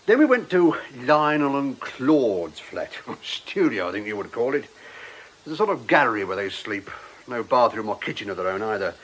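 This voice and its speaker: Actor as a dutiful, lively-voiced male police detective. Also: mid-range voice, normal speed, a little hiss or hum, close to an everyday voice, low-quality recording. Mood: dutiful, droll. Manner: deferential, methodical, mildly droll reporting. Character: dutiful, plain-spoken male police detective, deferential subordinate. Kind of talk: radio drama acting.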